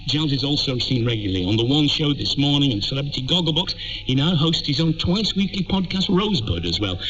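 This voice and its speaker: British man, wry show presenter, animated delivery, dull lo-fi radio sound. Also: fairly high pitch. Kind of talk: light magazine-show interview with scripted introductions. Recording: muffled shortwave radio capture.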